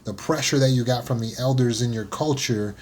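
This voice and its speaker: A man gives an unscripted motivational talk in a voice of ordinary middle pitch. He speaks earnestly and often repeats words as he searches for the next thought. He slows down to lean on key phrases, then speeds up through lists.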